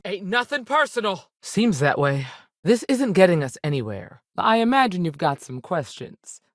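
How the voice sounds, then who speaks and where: A stern, dutiful woman ranger from a space video game. Her pitch moves around freely as she gives firm, plain-spoken lines with frontier folksiness. The recording is close and dry. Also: big pitch swings, moderate pace, no echo. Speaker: video game character voice actor. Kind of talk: game dialogue acting.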